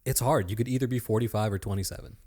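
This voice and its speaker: A man in his thirties with a deep voice, joking around as a guest in a casual podcast chat. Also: very fast, darkish tone. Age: 30s. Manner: joking, playful, swears casually.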